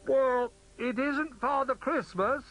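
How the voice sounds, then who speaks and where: Man voicing a cheerful, lively toy animal. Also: medium pitch, fast talker, pitched above the performer's own voice. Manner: calling out cheerily. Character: cheerful, helpful tall toy with horns.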